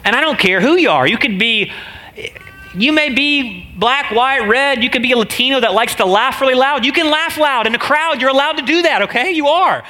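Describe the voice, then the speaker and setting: A man's voice: extremely high pitch, fairly quick, sharp tone. A man with a nasal voice, a guest preacher giving a casual, joking sermon full of slang. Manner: chatty, joking, slangy delivery.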